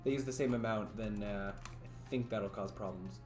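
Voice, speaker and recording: A man livestreaming while he codes, thinking aloud in a loose, rambling way and answering his chat. His voice has gone a bit raspy after hours of talking. The room sounds echoey, with faint music playing underneath. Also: lowish voice, moderate pace, a little mellow. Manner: thinks aloud, rambling, chats with viewers, frequent laughs. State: voice getting raspy from long talking. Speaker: streamer. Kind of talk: casual livestream coding commentary. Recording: roomy echo, faint music.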